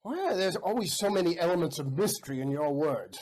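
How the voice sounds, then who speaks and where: A man's voice: fairly high pitch, lively intonation, fast talker, a little mellow. A man playing a suspicious, lordly count in a stage comedy audio play, imperious and insistent. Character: suspicious, lordly count questioning his servant.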